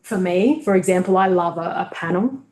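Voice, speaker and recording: A woman's voice: lowish voice, expressive, fairly quick, a little mellow, echoey room. A woman, a guest on a webinar, talking through presentation tips in a thoughtful, searching way over a video call. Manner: thoughtful, many fillers and restarts. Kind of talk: casual webinar conversation.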